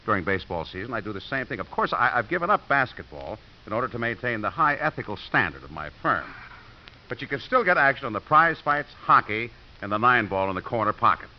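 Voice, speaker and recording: A man's voice: medium pitch, big pitch swings, slight background noise, natural voice. Man playing a swingy-voiced wisecracking American cynic. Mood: sardonic. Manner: dry, sardonic, hesitant pauses. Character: wisecracking, cynical divorced father and football coach. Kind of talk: 1950s radio acting. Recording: muffled 1950s radio recording.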